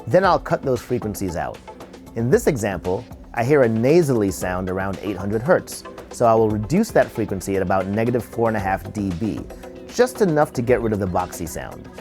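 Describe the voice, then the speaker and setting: A man presenting an audio tutorial in an instructional, measured, step-by-step way. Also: lowish voice, animated, darkish tone, soft background music. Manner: explanatory, measured step-by-step delivery. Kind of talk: instructional narration.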